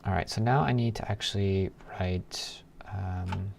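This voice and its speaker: Slow, low-voiced man thinking aloud. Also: a little mellow. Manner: muttering to himself, trailing off. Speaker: live programming streamer. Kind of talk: casual think-aloud coding stream. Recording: clear background noise, keyboard typing.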